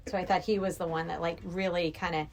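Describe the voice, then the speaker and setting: A woman's voice: on the low side, little pitch movement, fast talker, a little hiss or hum. A middle-aged woman chatting casually about a film as a podcast co-host.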